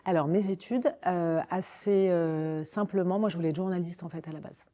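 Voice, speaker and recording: Low, mellow Parisian woman in conversation. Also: moderate pace. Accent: Parisian French. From Paris, France. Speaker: podcast guest, communications director. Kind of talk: conversational interview, recounting own career.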